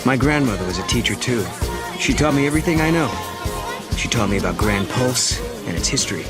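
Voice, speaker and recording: A man's voice: mid-range voice, animated, a little fast, natural voice. An actor playing an earnest young male traveler from another time in a video game cutscene. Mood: reflective, troubled. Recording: loud game music over voice.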